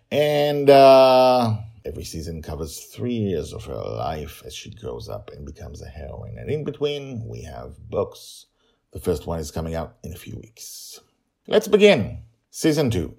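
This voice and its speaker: A man hosting a story podcast with a gravelly, husky voice and a dramatic, emphatic delivery full of pauses. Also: deep voice, warm tone.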